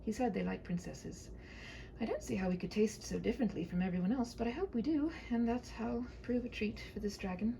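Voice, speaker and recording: A woman's voice: fairly high pitch, a little fast, vocal fry, dark tone, a little hiss or hum, poor audio. A woman voicing a prim, tender-hearted young princess in a comic fairy tale, earnest and formal. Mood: patient, uneasy.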